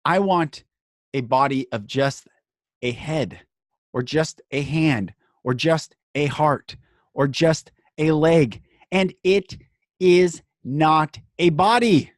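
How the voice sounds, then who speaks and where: A man hosting a podcast, speaking in an earnest, emphatic inspirational monologue broken into short phrases with dramatic pauses. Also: a little high, no echo, studio quality.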